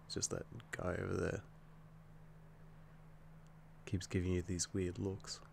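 Werewolf boyfriend played by a man, ASMR roleplay: young, protective, quick-tempered but loving; low voice, unhurried, hesitant. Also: completely flat delivery, creaky, a little hiss or hum, exaggerated voice. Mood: irritated, jealous. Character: affectionate young male werewolf boyfriend, easily angered, protective. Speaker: roleplay audio performer.

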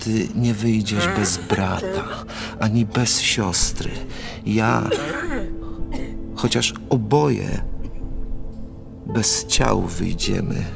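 An actor playing a morbid, romantic Polish count of fifty in a radio play of dramatic verse, brooding and theatrical. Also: male voice, fairly low pitch, drawn-out words, gravelly, pauses often, over music, heavily acted. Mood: solemn.

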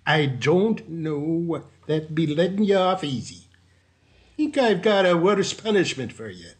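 A man's voice: a little high, big pitch swings, unhurried. A man playing a kindly, paternal policeman in an old-time radio sitcom. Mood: soothing, paternal.

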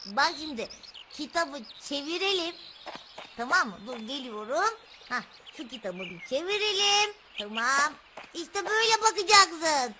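Very high, sweet puppet girl. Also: very animated, a little slow, heavy background noise. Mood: helpful. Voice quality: high, childlike, bright. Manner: coaxing, full of endearments. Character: sweet little puppet girl, affectionate and patient. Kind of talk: children's TV puppet show acting.

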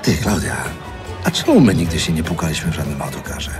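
A man's voice: a bass voice, very animated, brisk, over music, a crowd in the background. An actor playing a brash, tipsy, lewd husband in his forties in a naturalistic audio drama, with a teasing, cocky manner. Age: 40s.

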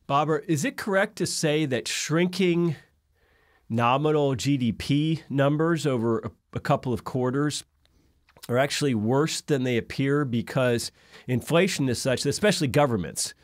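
A middle-aged man hosts a talk over a video call from an office, with a dry, close sound. He speaks at a medium pitch and keeps a measured pace as he sets up long, careful questions. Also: dry recording. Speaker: host. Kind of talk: interview questions and discussion. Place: indoor office in front of a backdrop.

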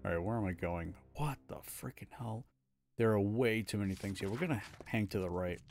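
Gravelly-voiced man muttering over game play. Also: on the low side, brisk, darkish tone. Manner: casual, mutters to himself while exploring. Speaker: streamer. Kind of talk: live gameplay commentary. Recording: game music behind voice.

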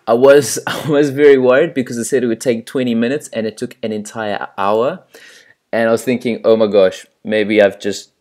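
A man's voice: lowish voice, darkish tone. A man with a South African accent, chatting casually and a little self-deprecatingly as he walks through a screen recording. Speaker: channel host.

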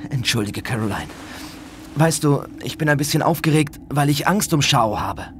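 An actor playing an anxious, determined demon hunter with an intense, pressing manner in a horror audio drama. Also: male voice, on the high side, animated, normal speed, a bit bright. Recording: noisy scene ambience behind.

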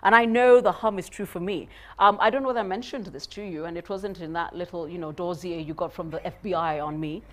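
A woman's voice: lowish voice, fast talker, few pauses. A middle-aged woman from Kenya, a guest speaker on a studio panel, giving career advice in short, punchy, emphatic phrases on a lapel mic. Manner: emphatic, punchy, animated gestures. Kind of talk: motivational panel talk. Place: studio set.

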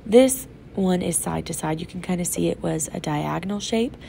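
A sick, congested woman teacher talks young children through an art project step by step, patient and instructive. Her voice is low and heavily gravelly, with a dark, muffled tone. A faint hum sits behind her. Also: moderate pace. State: sick, congested and sore-throated. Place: classroom table. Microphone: off-camera voice over an overhead tabletop camera.

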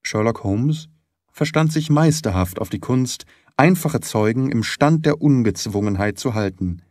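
A man narrating a detective story from a script in a measured, expressive storytelling manner. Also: medium pitch, dry recording, studio quality. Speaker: audiobook narrator.

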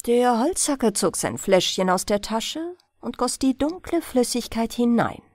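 Female audiobook narrator for bedtime fairy tales: slightly high voice, very lively intonation, unhurried. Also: dry recording, studio quality. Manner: expressive, unhurried storytelling. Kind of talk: bedtime fairy tale reading.

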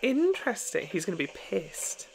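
A man's voice: high voice, very animated, moderate pace, vocal fry, low-quality recording. A young man giving casual, off-the-cuff reaction commentary in a blunt, sweary manner. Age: young adult. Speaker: reaction video host. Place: small room at home, indoors.

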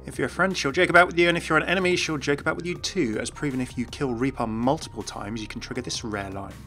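A man's voice: mid-range voice, quick pace, sharp tone, soft background music. A man giving scripted commentary on video game lore as a host.

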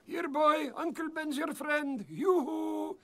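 Jaunty cartoon uncle. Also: male voice, very high voice, fairly even intonation, takes it slowly, creaky, heavy background noise, full character voice. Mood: breezy.

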